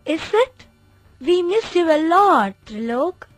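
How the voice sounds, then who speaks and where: A woman voicing Lakshmi, Raman's gentle adult wife, in an animated children's story, speaking earnestly. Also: very high-pitched, slow, soft background music, full character voice.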